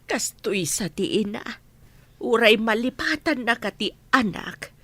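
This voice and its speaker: A woman's voice: on the high side, animated, measured pace, fairly bright tone, no echo. A woman playing a sorrowful mother pining for her child in a radio drama, with a lamenting manner. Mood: wistful, sorrowful.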